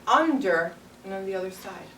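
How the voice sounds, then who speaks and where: A woman's voice: medium pitch, animated, moderate pace. A young woman teacher reading a story aloud to young children, stopping to ask questions and echo their answers. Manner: prompting with questions, echoing pupils' answers. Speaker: primary school teacher. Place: carpeted classroom full of young children. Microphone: distant camera microphone. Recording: echoey, distant, noisy classroom pickup.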